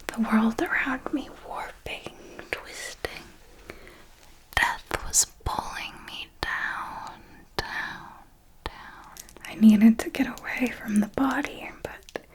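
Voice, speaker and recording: A woman whispering an ASMR roleplay as a darkly elegant, possessive vampire mentor, telling it in a deliberate, theatrical way. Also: fairly high pitch, low-quality recording. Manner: slow, deliberate, theatrical storytelling.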